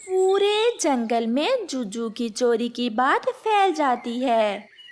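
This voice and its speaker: A woman narrator tells a scripted children's story. Her voice is very high, with animated intonation and an unhurried pace.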